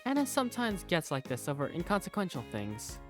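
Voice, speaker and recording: A man voicing a curious, self-doubting high school student who narrates a visual novel fandub in the first person. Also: wildly swooping pitch, fairly quick, over music. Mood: reflective.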